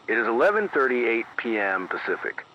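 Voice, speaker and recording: A man playing a grave, careful late-night emergency announcer, reading a formal scripted bulletin with measured, precise delivery through an AM radio filter. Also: medium pitch, very animated. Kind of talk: scripted analog horror emergency news bulletin. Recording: dull band-limited AM radio, faint noise.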